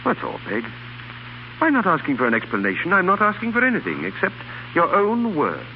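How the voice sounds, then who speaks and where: An actor playing an earnest, lovestruck and protective gentleman in a 1930s radio drama. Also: high voice, huge pitch swings, a little fast, heavily acted. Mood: insistent, pleading. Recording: dull, hissy 1930s radio broadcast.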